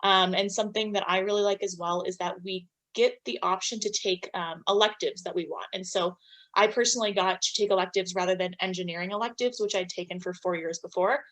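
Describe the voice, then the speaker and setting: A woman's voice: medium pitch, fairly even intonation, brisk, fairly bright tone. A woman in her twenties speaking informally as a student panelist in a webinar.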